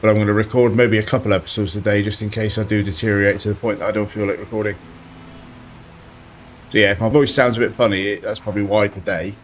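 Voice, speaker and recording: A man with a slight sore throat giving relaxed commentary while playing a driving game, thinking aloud as he goes. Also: lowish voice, quick pace, a little hiss or hum. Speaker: let's play host. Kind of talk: casual gameplay commentary.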